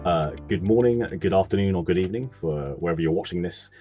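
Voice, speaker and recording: A man's voice: sits low, fast, dark tone, dull audio, faint music behind. A man hosting a live stream interview, asking rambling friendly questions with lots of fillers.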